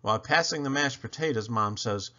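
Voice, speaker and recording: A man reading a children's picture book aloud in an animated storyteller style, with dramatic, emphatic phrasing and playful swoops. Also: mid-range voice, brisk, highs cut off.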